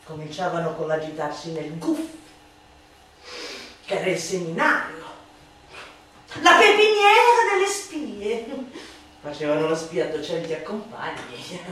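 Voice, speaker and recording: A woman playing a sardonic, scornful satirical orator in a theatrical radio monologue, declamatory and emphatic, moving between hushed asides and loud outbursts. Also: on the low side, huge pitch swings, a little slow, pauses often, heavily acted. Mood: mocking, heated. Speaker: actress performing a literary monologue. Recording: reverberant room, distant voice, faint noise.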